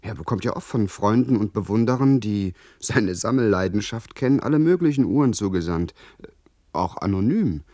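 A husky, earnest young English lord. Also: male voice, fairly low pitch, animated, normal speed, mellow, no echo, studio quality. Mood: excited. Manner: theatrical, formal, emphatic diction. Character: desperate, earnest young English nobleman in love. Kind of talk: German radio play acting.

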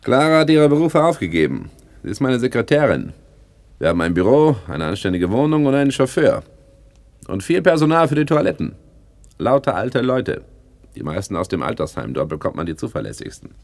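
Slick pompous crook with swooping intonation. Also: male voice, mid-range voice, big pitch swings, fairly quick, creaky, darkish tone. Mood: boastful. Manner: pompous, self-satisfied. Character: slick self-important small-time crook turned businessman. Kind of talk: 1960s German radio play acting.

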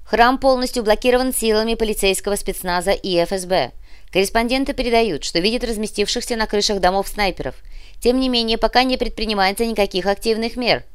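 A woman's voice: medium pitch, fast talker, clean tone, no room sound, clean studio sound, pitched above the performer's own voice, natural voice. A woman voicing an urgent radio news reporter in an audiobook, with an emphatic, formal bulletin delivery.

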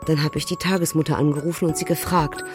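Actress as a lower-pitched mother telling her story. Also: steady tone, fast, faint music behind, lightly acted. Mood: earnest, troubled. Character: German mother in China recounting a family quarrel. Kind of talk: documentary radio drama monologue, conversational storytelling.

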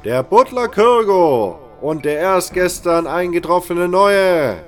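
A man voicing an arena master in a fan audio drama, calling out loudly and ceremonially with drawn-out words. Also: high-pitched, expressive, faint music behind, voice pushed up. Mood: proclaiming. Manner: loud, drawn-out proclaiming. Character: gruff male arena master, loud ceremonial announcer.

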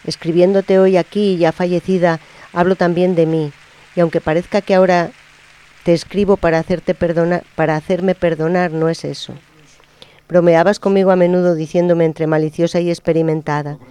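A man on a radio program, reading a personal letter aloud in a slow, intimate, reflective way. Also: high-pitched, little pitch movement, smooth. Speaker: radio presenter. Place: radio studio. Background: soft guitar music.

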